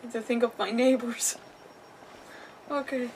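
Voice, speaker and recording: A woman's voice: fairly high pitch, fairly even intonation, a little slow, darkish tone, distant mic, slight background noise, poor audio. A woman livestreaming a game, chatting casually and reacting aloud as she plays. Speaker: game streamer. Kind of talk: casual livestream gameplay commentary. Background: faint game music.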